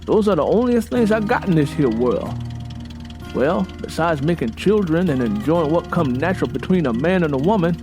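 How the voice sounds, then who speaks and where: Man playing a high-pitched, stubborn Southern husband. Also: animated, normal speed, music in the background, close to an everyday voice. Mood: resigned, earnest. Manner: folksy, insistent, long-winded. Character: Curly, stubborn, plainspoken young Southern farmhand husband, cautious. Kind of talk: audio drama acting.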